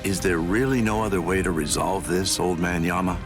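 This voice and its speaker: A man's voice: deep voice, fairly quick, natural voice. A man voicing a laid-back, wry swordsman captain in an anime game, with an easygoing, casual way of talking. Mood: reluctant. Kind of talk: dramatic anime game voice acting. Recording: game music behind the voice.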